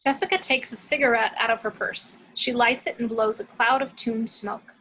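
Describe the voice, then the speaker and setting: A woman's voice: on the high side, steady tone, normal speed, bright, forceful tone, low-quality recording. A woman reading action descriptions from a film script as scripted narration. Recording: clear background noise, home recording.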